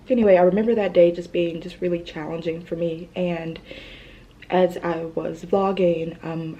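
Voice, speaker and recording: A young woman vlogging in a soft, hesitant voice, grieving and close to tears, often dropping to a whisper between fillers. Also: fairly low pitch, slight background noise. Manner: fillers and trailing pauses. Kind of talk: emotional personal vlog.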